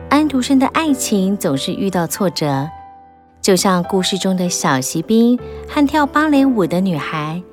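A woman narrating a children's audiobook in a gentle, expressive storytelling manner. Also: mid-range voice.

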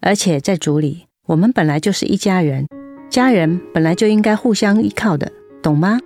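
An actress playing a caring, firm older-sister nurse, gently admonishing in Taiwanese Mandarin, in a radio drama. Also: female voice, lowish voice, moderate pace, soft background music. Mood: earnest, caring.

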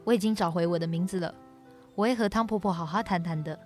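A woman playing a gentle, reassuring young boy who is a river spirit, in an audio drama. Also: fairly low pitch, fast, soft background music, close to an everyday voice.

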